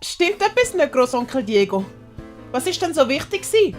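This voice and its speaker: A woman with a Swiss German accent voicing a naive, eager young boy in an audio story, halting and wide-eyed. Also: high-pitched, sing-song, soft background music, pitched above the performer's own voice. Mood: timid.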